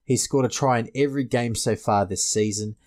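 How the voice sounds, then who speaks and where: A man's voice: mid-range voice, brisk, darkish tone. A man with an Australian accent hosting a casual fantasy sports panel discussion. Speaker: podcast host.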